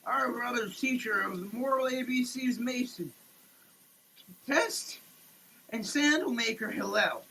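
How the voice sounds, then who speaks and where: A mid-pitched voice reads slowly and theatrically, declaiming in halting pieces and repeating words. The recording is noisy, made in a running shower. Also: animated, gravelly, bright, forceful tone. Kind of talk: dramatic, theatrical reading of a product label.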